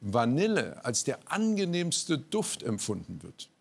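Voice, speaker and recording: A man hosting a TV quiz show, greeting the audience and chatting lively with his guests. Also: medium pitch, unhurried, vocal fry. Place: TV studio with audience. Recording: TV studio with audience and noise.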